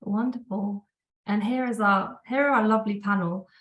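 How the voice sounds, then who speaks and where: A woman moderates a panel, introducing guests and asking questions politely. Her voice sits at a medium pitch. The sound carries a roomy echo. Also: steady tone, normal speed, muffled recording. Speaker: event host and moderator. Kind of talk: hosting a panel Q&A.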